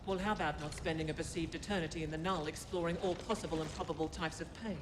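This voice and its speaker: A woman's voice: low-pitched, fairly even intonation, fast, background noise, lightly acted. Woman playing a cold, echoey corporate operative. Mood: cool, menacing. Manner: formal, precise, condescending. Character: cold, menacing, formal female corporate operative. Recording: echoey hall with music behind.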